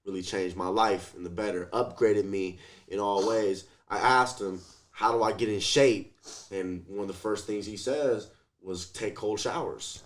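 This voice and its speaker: A man's voice: lowish voice, unhurried. A man talking casually as an interview guest, telling stories in a slangy, sweary way and acting out what people said. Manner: slangy, swears, acts out quotes, frequent "like" and "you feel me". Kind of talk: casual, animated storytelling chat.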